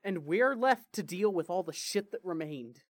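A woman playing a grieving, foul-mouthed, defensive young man in an audio drama, with halting, vulnerable delivery. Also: lowish voice, lively intonation, no echo, studio quality. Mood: bitter, frustrated. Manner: halting, swearing, raw and vulnerable. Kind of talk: fan fiction audio drama acting.

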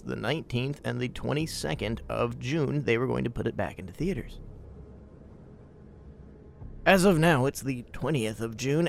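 A man's voice: mid-range voice, creaky, slight background noise. A man hosting a conversational film podcast, speaking in an emphatic, opinionated way. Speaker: podcast host.

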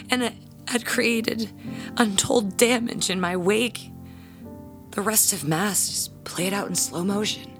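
A woman playing a proud, jealous parishioner and bell ringer in an audio drama, speaking in a pompous, theatrical, halting way. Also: mid-range voice, lightly acted. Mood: guilty, dramatic.

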